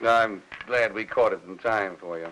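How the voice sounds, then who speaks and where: An actor in a film noir radio drama playing an officious, patient but unbending postal official who speaks formally. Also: male voice, lowish voice, fairly bright tone, lightly acted. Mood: courteous. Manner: measured, formal, by the book. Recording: hissy, noisy old-time radio recording.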